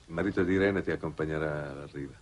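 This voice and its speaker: An actor playing a weary, resigned exile from Earth in a 1960s television drama, speaking in a measured, grave way. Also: male voice, rumbling low. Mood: weary, wistful. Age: middle-aged. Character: weary, resigned, fatalistic man exiled on another planet. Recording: noisy old 1960s TV broadcast soundtrack.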